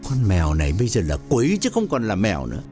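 A frightened, worn-out older man, read by a male storyteller close to his own voice, with a voice that swings widely in pitch. His speech is tense and troubled, with faint music behind. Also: wildly swooping pitch, quick pace, darkish tone. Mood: fearful. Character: frightened, weary older man haunted by a ghost.

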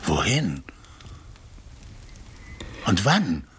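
An actor playing an aristocratic friend in a literary radio drama, speaking formally and with measured reproach in a husky voice. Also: male voice, deep voice, huge pitch swings, a bit bright, a little hiss or hum, heavily acted. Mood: questioning.

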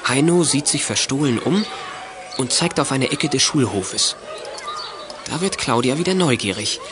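A man's voice: medium pitch, lively intonation, moderate pace, lightly acted. A man reads scripted, matter-of-fact narration for a children's detective audio drama. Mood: narrating. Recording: clearly noisy old audio play recording.

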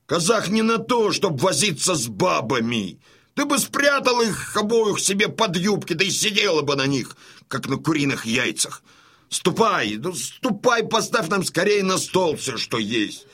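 An actor playing a boisterous, domineering old Cossack colonel and father in a Russian radio drama, with a rough, commanding, folksy delivery. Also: high-pitched, sing-song, a little slow, bright, forceful tone, full character voice. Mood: gruff, bossy. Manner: commanding, hearty, folksy, declamatory.

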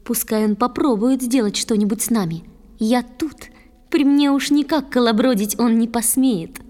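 A woman's voice: fairly high pitch, normal speed, dark tone, natural voice. A woman playing a young female water spirit, a tender, fearless wife in a lyrical verse audio play. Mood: laughing, fearless. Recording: long reverb with music underneath.

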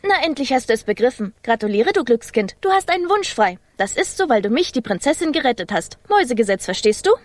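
A woman playing a haughty, bossy little mouse princess in a children's radio play, with a pert, commanding manner and a husky voice. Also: fairly high pitch, very animated, quick pace, hardly any pauses, no echo. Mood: haughty, cheeky.

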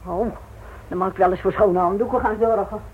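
An actress playing an anxious, blunt innkeeper's wife in a radio drama, sharp-tongued and resentful. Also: female voice, mid-range voice, fairly even intonation, a little fast, husky, natural voice. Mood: tart. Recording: tinny, narrow-band radio recording.

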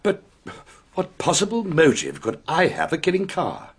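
Defensive gentleman, fairly high, hugely varied intonation, incredulous, radio play. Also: wildly swooping pitch, normal speed, slightly rough, full character voice. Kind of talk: radio drama acting.

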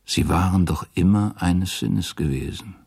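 A male narrator reads literary prose for a radio drama. His voice is extremely deep, with a creaky edge and a husky grain. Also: measured pace, darkish tone.

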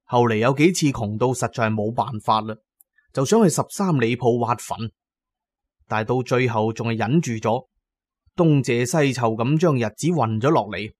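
A man with a Cantonese accent narrating a scripted dramatic story in an expressive, colloquial way. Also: mid-range voice, moderate pace, no room sound.

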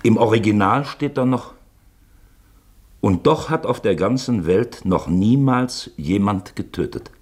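A shrewd, insinuating questioner in a radio drama. His husky low voice swings widely in pitch as he presses his point deliberately. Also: expressive, darkish tone. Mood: intense, insistent. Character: shrewd, probing man quoting a suspect manuscript, insinuating. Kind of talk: German radio play acting.

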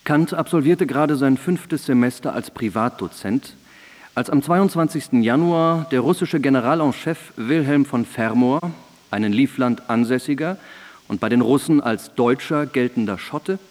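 A man's voice: mid-range voice, normal speed, a little mellow, long reverb tail. A man reading scripted historical narration for a radio drama.

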